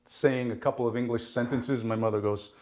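A man's voice: medium pitch, steady tone, a little fast, a little mellow. A middle-aged man, born in Italy with Italian as his first language, talking casually as an interview guest, rambling with many fillers and frequent laughs.

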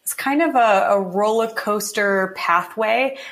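A young woman from California talks through her own story as a podcast guest. Her tone is reflective and unhurried, and she often pauses on fillers and restarts her sentences. Her voice sits at a middle pitch. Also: fairly bright tone. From California, USA. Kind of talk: casual interview.

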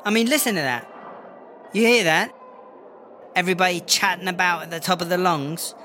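Man as brash high-voiced English jailbird woman. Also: normal speed, sharp tone. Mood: scornful, bossy. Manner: blustering, chatty, slangy. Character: brash, foul-mouthed English female prison inmate and rapper. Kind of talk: mockumentary interview in comedy audio drama. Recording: music under the voice.

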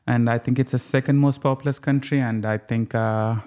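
A man's voice: medium pitch, fairly even intonation, fairly quick, a little mellow. A man from South India with an Indian accent, a cardiologist chatting casually as a podcast guest. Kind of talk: casual conversational storytelling.

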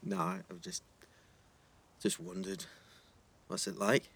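A man's voice: fairly low pitch, sing-song, slow, creaky, fairly bright tone, breathy edge, exaggerated voice. An actor playing a shy, earnest teenage schoolboy, hesitant and flustered, repeating his words in a naturalistic audio drama. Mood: nervous. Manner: hesitant, stammering repetitions, flustered.

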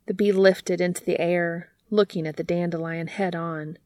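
A woman reading a story aloud in gentle, unhurried scripted narration. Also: lowish voice, steady tone, no echo, clean studio sound.

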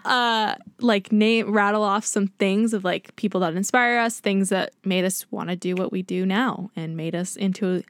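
A young woman co-hosting a podcast in casual chat, talking fast and chattily with lots of fillers and laughs. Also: mid-range voice, fairly even intonation, dry recording, studio quality. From United States. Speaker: podcast co-host, makeup artist.

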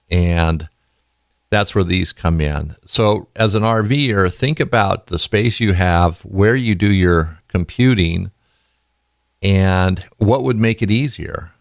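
A man with a very low voice, full of fry and rasp from a lingering illness. He talks in a loose, rambling way, drifting onto side stories. The recording is dry and close. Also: a little slow, no echo. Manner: rambling, folksy, goes off on tangents. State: raspy from illness, tired. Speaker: podcast host. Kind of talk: casual podcast talk.

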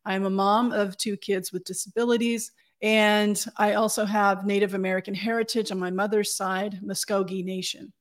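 A woman guest on a podcast, answering in an earnest and well-spoken way. Her voice sits at a middle pitch, and she talks in a steady, measured manner. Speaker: communications consultant, podcast guest. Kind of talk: conversational interview answers.

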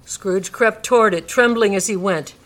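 A woman narrating a classic story in a live radio reading, expressive and dramatic, lingering on descriptive words. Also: mid-range voice, moderate pace.

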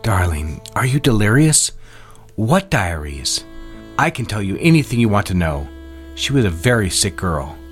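A man's voice: on the low side, very animated, moderate pace. A man acting a controlling husband in an audio drama, coaxing and patronizing as he insists. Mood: dismissive. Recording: music under the voice. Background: music.

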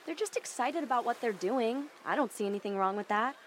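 A woman's voice: fairly high pitch, expressive, brisk, a little hiss or hum, natural voice. An actress playing an upbeat, free-spirited young woman with a breezy, persuasive way of talking.

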